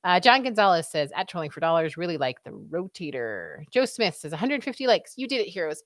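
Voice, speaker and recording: A woman's voice: lowish voice, sing-song, fairly quick, no echo, studio quality. A woman with a scratchy voice hosting a live stream, chatting casually and reading out viewer comments. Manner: chatty, rambling, reads comments aloud. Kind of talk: casual chat.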